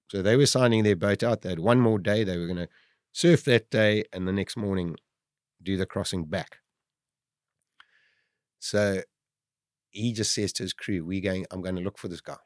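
A South African man in his fifties telling his own survival story in a loud, animated way. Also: no room sound, studio quality. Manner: loud, animated storytelling with big pitch jumps. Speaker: guest storyteller.

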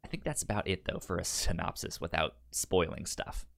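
A man hosting a podcast in casual review chat, speaking haltingly with stammered, repeated syllables. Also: deep voice, expressive, creaky. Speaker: podcast host.

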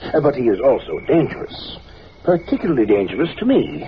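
An actor playing a timid, fawning scientist in an old-time radio drama, hesitant and fussy. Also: male voice, mid-range voice, sing-song, brisk, lightly acted. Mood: nervous, ingratiating. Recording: muffled, noisy vintage radio recording.

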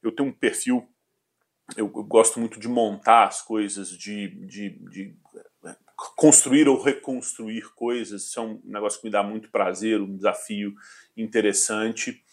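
Forties male executive, low voice, measured and hesitant interview answers. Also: fairly even intonation. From southern Brazil. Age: 40s. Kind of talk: business interview.

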